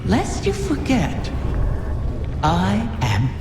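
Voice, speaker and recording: An actress playing an ancient, scornful female wish-dragon who talks slowly and mockingly. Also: mid-range voice, wildly swooping pitch, heavily acted. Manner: slow, mocking, drawn-out. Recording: echoey cavern with long reverb. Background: music.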